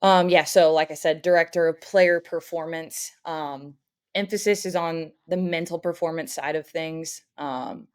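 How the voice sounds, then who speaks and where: A woman's voice: deep voice, fairly even intonation, unhurried, smooth. Upbeat young woman, coach chatting. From United States (grew up in St. Louis, Missouri). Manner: friendly, upbeat, frequent "um" fillers. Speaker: guest, softball coach. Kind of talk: casual interview chat.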